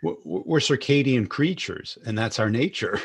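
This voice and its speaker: A man's voice: mid-range voice, expressive, moderate pace, breathy edge. A man hosting a podcast, chatting casually with a guest and responding to their points. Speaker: podcast host. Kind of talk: casual interview chat.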